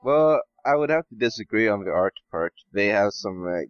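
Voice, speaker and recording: A man's voice: lowish voice, animated, moderate pace, clean tone, no echo. A man giving blunt, casual opinions as a podcast guest, over a dull voice-call sound.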